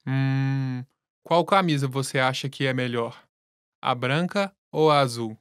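A man reading scripted lesson dialogue in a plain, relaxed way. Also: mid-range voice, unhurried, smooth, no echo, studio quality. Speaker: language lesson voice actor.

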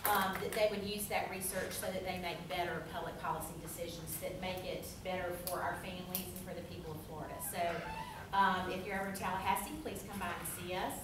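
A middle-aged woman with a Southern accent addresses a room in a loose, friendly way, pausing on fillers. She is heard from far off through a camera, the classroom ringing with echo and a steady hiss behind her. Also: fairly low pitch. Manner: friendly, chatty, many fillers. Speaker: think tank representative. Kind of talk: informal welcome speech. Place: university classroom.

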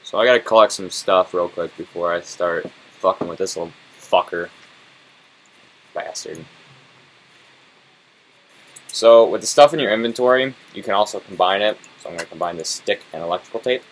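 A man's voice: deep voice, moderate pace, long pauses, a bit bright, low-quality recording. A man giving casual, foul-mouthed and grumbling commentary as he plays a video game. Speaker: let's-play host playing and commenting. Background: video game sound.

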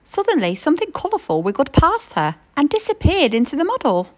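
A woman reading a children's picture book aloud in an expressive storytelling way. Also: a little high. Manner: expressive storytelling, slow and lilting.

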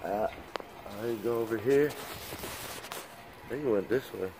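A man's voice: mid-range voice, slow pace. A man in his thirties chatting casually to a phone held at arm's length outdoors, in an easygoing, folksy way. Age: 30s. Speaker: vlogger. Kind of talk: casual vlog chat. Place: outdoors on a waterfront pier and marina. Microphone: handheld phone at arm's length. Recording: noisy outdoor phone audio, wind and water.

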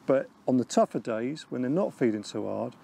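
A middle-aged man, an angling expert, explaining his methods in a measured, instructional way outdoors by a lake on a lapel mic. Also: medium pitch, expressive, vocal fry, dark tone, slight background noise. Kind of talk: instructional piece to camera.

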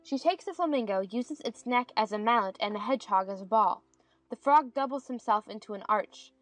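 A woman narrates a dramatized audiobook from a script. Her voice sits a bit high, with faint music underneath. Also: animated, fairly quick, no room sound. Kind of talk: scripted narration of stage directions.

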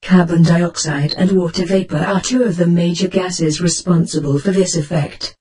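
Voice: mid-range voice, steady tone, normal speed, crowd noise. A voice assistant reading out scripted encyclopedic answers.

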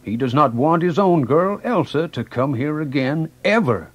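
A man playing a kindly, gentle, wise old woodcarver with a husky voice in a children's story drama. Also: fairly high pitch, lively intonation, moderate pace, no room sound. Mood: sad, resigned.

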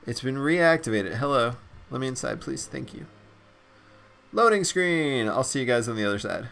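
A man hosting a let's play, commenting on gameplay in an excitable, enthusiastic way, his voice raspy and a bit stuffed up. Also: medium pitch, normal speed.

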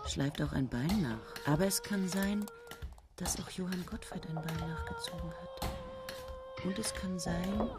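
A woman's voice: mid-range voice, sing-song, unhurried, loud music behind the voice. An actress playing a young female student who narrates a radio play in a wry, brooding way and bitterly hates potatoes. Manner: wry, brooding, clipped short sentences.

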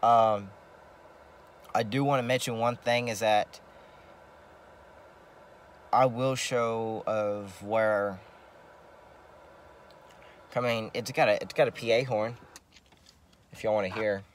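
Man, slightly low pitch, slow pace, casual vlog demonstration, halting, repetitive, faint vehicle noise. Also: steady tone. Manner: halting, rambling, repeats himself. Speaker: vlogger showing CB radio gear.

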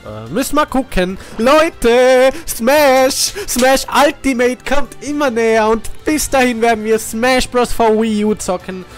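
A young man with a cold, casually commentating a video game he is playing, jumping between excited outbursts and quiet mumbling. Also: measured pace, hardly any pauses. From Austria. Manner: excitable, swings between hyped shouts and low mumbling. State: congested from a cold. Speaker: gaming channel host. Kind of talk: casual let's play commentary. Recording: game music playing behind.

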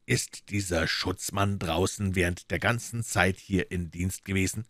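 A man doing a cool, low-voiced detective. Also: fairly even intonation, fairly bright tone, no room sound, clean studio sound. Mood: commanding. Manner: low, measured, authoritative. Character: cool, commanding male detective, quietly intense. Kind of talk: audiobook character voice.

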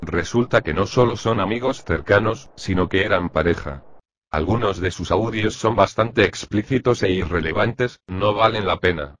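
Low-voiced formal forensic doctor voiced by man. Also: a little hiss or hum, close to an everyday voice. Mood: clinical. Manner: formal, measured, explanatory. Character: methodical older male police forensic doctor. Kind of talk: scripted machinima crime drama dialogue.

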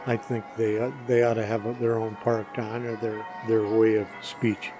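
A man's voice: fairly low pitch, level tone, normal speed, over music. A man being interviewed on a podcast, giving casual, thoughtful and understated answers with some hesitation.

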